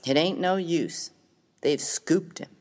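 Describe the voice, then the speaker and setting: A man with an American accent playing a rough, slangy, grieving miner of the Old West in a dramatized comic story, with a folksy, emphatic delivery. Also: fairly high pitch, slow pace. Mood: mournful, admiring. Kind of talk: dramatized reading of a humorous story. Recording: reverberant hall-like room.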